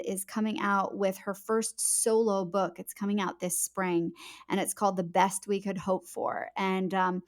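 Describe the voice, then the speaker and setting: A woman speaking casually as an author being interviewed on a podcast. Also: medium pitch. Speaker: author guest.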